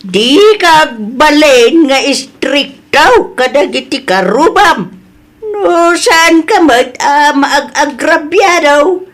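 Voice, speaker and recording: Concerned Filipino village woman played by an actress, high voice, measured pace, Ilocano accent, frank elder-sister scolding for radio drama. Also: animated, a bit bright, full character voice. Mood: concerned, reproving.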